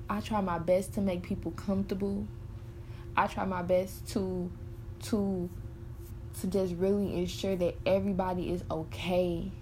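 A woman's voice: medium pitch, darkish tone, slight background noise, low-quality recording. A woman in her early twenties, talking candidly and frankly in a personal monologue, swearing freely and rambling with many fillers. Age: early 20s. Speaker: podcaster.

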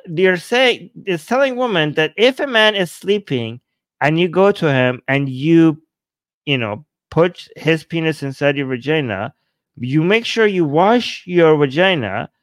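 A man hosting a livestream, reading texts and viewer messages aloud and commenting casually in an animated, mock-incredulous, digressive way. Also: a little high, dry recording. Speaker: livestream host.

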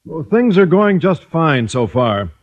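An earnest, polite schoolteacher from a golden-age radio comedy. His husky, fairly high voice moves animatedly with hesitant, oblivious courtesy. The vintage recording sounds muffled. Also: very animated, measured pace. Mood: pleased, confident. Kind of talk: 1940s-50s radio comedy acting.

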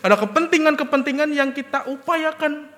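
A man's voice: extremely high pitch, fairly quick, bright, forceful tone. A man preaching conversationally and informally with a Javanese-region Indonesian accent, his voice nasal, congested and a little worn from a sore throat. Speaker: preacher leading a church service. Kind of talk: conversational sermon with everyday examples. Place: church service, reverberant hall. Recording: long echoing hall reverb.

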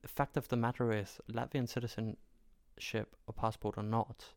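A man's voice: medium pitch, level tone, normal speed, no echo, clean studio sound. A man from Denmark with a Danish accent speaks in an emphatic, argumentative way, driving points home with repeated phrases in an opinionated conversation.

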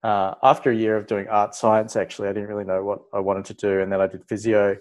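An Australian man in his thirties talks as an interview guest. His voice sits low, and he rambles casually, circling back and restarting his thoughts. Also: fast. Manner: relaxed, rambling, restarts and "like" fillers. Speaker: guest, ophthalmology registrar.

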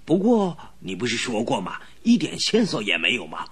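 A pompous old duke. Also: male voice, medium pitch, huge pitch swings, normal speed, fairly bright tone. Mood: indignant. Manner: pompous, blustering, scolding. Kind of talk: radio drama acting.

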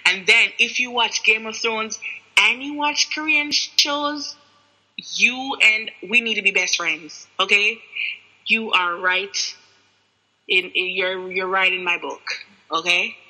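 A young woman co-hosting a podcast over a video call, excitable and rambling, often giggling. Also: mid-range voice, slow pace, poor audio. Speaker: podcast co-host. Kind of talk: casual chat.

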